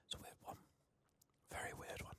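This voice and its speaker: A man giving soft-spoken, partly whispered ASMR commentary on a video game, muttering in a hushed, unhurried way over keyboard and mouse clicks. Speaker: video game streamer, host. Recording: faint noise, keyboard typing and mouse clicks.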